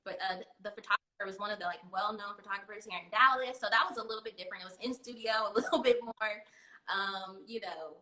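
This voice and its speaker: A woman in her thirties chats as a guest over a video call, her voice bright and forward. She laughs easily and fills her pauses with "um" and "you know." The room around her rings with echo. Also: mid-range voice. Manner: chatty, laughs often, many fillers. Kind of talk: casual video-call interview. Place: home room.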